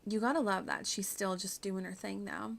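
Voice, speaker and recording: A woman's voice: medium pitch, steady tone, moderate pace, creaky, a little hiss or hum. A woman giving casual, playful playthrough commentary as a game streamer, chatty and prone to giggling.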